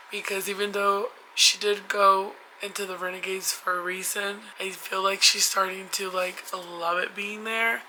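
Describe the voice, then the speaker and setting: A young woman talks in a loose, rambling way, full of fillers and restarts. Her voice is hoarse and stuffy from a cold, but its tone stays bright. A soft hiss of background noise sits under it. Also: mid-range voice, rough recording. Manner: chatty, rambling with many likes and restarts. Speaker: book vlogger. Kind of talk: casual chat. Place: at home in bed.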